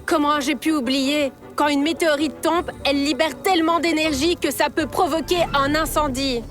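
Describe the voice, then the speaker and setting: A woman's voice: high-pitched, steady tone, moderate pace, a bit bright, faint music behind. A woman voicing a keen, sensible young boy who leads a team in a children's cartoon, explaining things in an encouraging way. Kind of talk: animated children's series voice acting.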